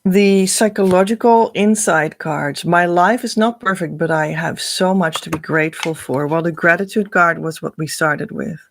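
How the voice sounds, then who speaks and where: A woman with a Dutch accent, a spiritual coach talking slowly and loosely to her viewers, with fillers and laughs. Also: fairly low pitch, slight background noise. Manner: slow, meandering, with frequent laughs and fillers. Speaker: twin flame coach.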